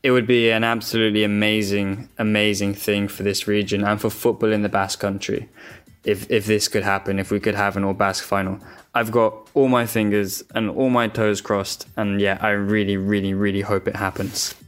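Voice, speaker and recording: Enthusiastic low-voiced football pundit, man. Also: little pitch movement, moderate pace, clean tone, faint music behind. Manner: enthusiastic, repeats words for emphasis. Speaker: podcast guest pundit. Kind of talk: casual football analysis.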